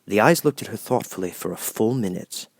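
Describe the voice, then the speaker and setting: A man's voice: on the low side, lively intonation, brisk, no room sound. A man reading a scripted children's storybook as an audiobook narrator.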